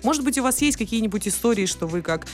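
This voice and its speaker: A woman co-hosting a morning radio show, lively and chatty as she tells stories in the studio. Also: a little high, fast, soft background music. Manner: lively, storytelling. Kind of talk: casual radio banter.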